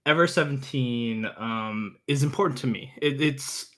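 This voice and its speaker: Halting, casual young man as guest. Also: medium pitch, a bit bright. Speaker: podcast guest, visual novel reviewer. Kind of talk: casual chat, explaining and recommending.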